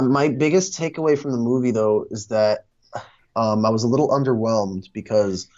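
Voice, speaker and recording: A man's voice: medium pitch, a little mellow. Man chatting casually, dull lo-fi sound. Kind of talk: casual movie discussion.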